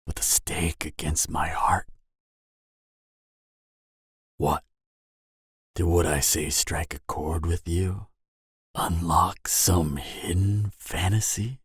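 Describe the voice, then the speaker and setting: A man's voice: sits low, fairly even intonation, slightly rough, no echo, studio quality, full character voice. A man playing a cocky, flirtatious and dangerous vampire in an audio roleplay, teasing the listener with a smug, seductive delivery. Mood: seductive, menacing. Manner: teasing, smug, seductive drawl with dramatic pauses. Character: cocky, flirtatious, dangerous adult male vampire, former killer.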